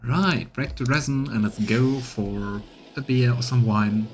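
A man's voice: medium pitch, unhurried, faint music behind. A man in his thirties doing casual, playful game commentary and narration as a streamer, musing as he goes. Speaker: streamer, let's player. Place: small indoor room on webcam.

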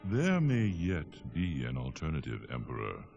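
A man playing a cunning, obsequious sorcerer who speaks deliberately in a dramatic game style. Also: a bass voice, huge pitch swings, vocal fry, dull audio, full character voice. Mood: insinuating. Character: cunning male sorcerer, smooth-tongued schemer serving an emperor. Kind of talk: dramatic video game acting. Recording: loud music behind the voice.

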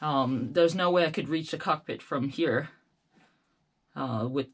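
A young woman narrating her own do-it-yourself boat work in a relaxed, explanatory way, pausing often with "uh" and "like". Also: sits low, breathy edge. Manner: relaxed and explanatory, with many "uh" and "like" pauses. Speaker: solo sailor building her own electric boat. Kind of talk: casual DIY narration.

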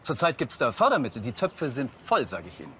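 Genial, lively-voiced mayor. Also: male voice, mid-range voice, quick pace, slight background noise. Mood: patronising. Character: genial, self-satisfied male small-town mayor on a visit. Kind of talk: TV comedy crime series acting.

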